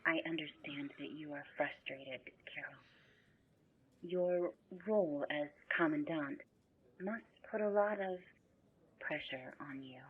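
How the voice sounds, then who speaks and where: A woman plays a meek female spirit assistant in a supernatural audio drama. The voice is very low and husky, very slow and hesitant, breaking into careful, deferential phrases. The sound is muffled. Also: heavily acted. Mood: apologetic, deferential.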